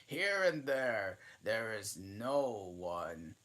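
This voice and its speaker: A man with a low voice reads a children's classic aloud at a slow, careful pace. He often breaks off, restarts words and corrects himself mid-sentence. His pitch swings widely as he reads. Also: big pitch swings, a bit bright. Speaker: channel host reading aloud.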